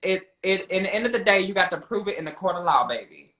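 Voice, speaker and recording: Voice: mid-range voice, expressive, quick pace, a bit bright. A person in a heated casual debate as a talk guest, speaking in a sassy, insistent way and repeating points for emphasis. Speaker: guest discussing a reality show. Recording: roomy echo.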